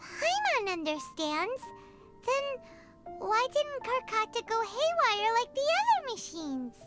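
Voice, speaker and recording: Squeaky, extremely high-pitched tiny fairy companion. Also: female voice, sing-song, slow pace, music in the background, full character voice. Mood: curious, puzzled. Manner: speaks of self in third person, cutesy. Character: tiny childlike fairy companion, curious and innocent. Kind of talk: cartoonish video game acting.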